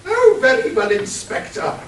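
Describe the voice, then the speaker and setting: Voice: mid-range voice, huge pitch swings, measured pace. A performer voicing a haughty, silly titled lady detective in a comic radio play, pompous and scatterbrained. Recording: echoey room, distant mic, faint noise.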